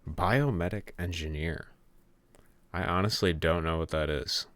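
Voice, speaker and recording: A man's voice: deep voice, measured pace, a bit bright, no room sound. A young man from Michigan, a game developer chatting casually with viewers on a late-night stream, laid-back and chatty. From Michigan, USA. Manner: laid-back, chatty, reads chat aloud. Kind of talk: casual live-stream chat. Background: keyboard typing and clicking.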